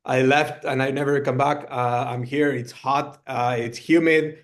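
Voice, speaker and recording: A middle-aged man from Argentina, a guest founder speaking over a video call and telling his story in a relaxed way, with frequent fillers. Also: medium pitch, a little slow. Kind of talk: storytelling presentation.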